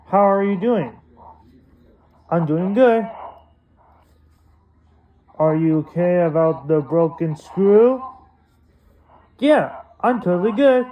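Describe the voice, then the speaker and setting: A male vlogger unboxing a toy, rambling and excitable, talking to himself as he goes. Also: high-pitched, narrow, tinny audio. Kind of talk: casual unboxing commentary.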